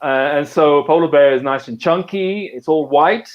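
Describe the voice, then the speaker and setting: A man's voice: a little high, normal speed, highs cut off. A man, an author and conservation biologist from a British and French background, talks casually on a live video call, with many fillers and restarts. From half British, half French.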